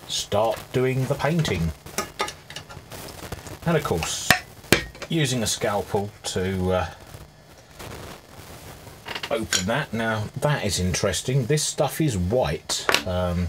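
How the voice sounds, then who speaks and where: A man giving an informal hands-on demonstration, thinking aloud as he works at his bench. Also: on the low side. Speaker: restorer presenting a hobby repair video. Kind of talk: casual hands-on demonstration commentary. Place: indoor cluttered electronics workbench. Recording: clear background noise, workshop ambience.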